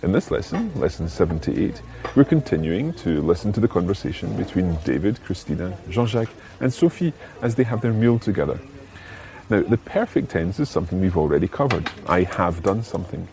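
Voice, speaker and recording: A man's voice: low voice, big pitch swings, moderate pace, vocal fry, dark tone, low-quality recording. A man hosting a language lesson, explaining phrases in a scripted teaching style and putting questions to the listener. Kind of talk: clear scripted teaching narration, switching between languages.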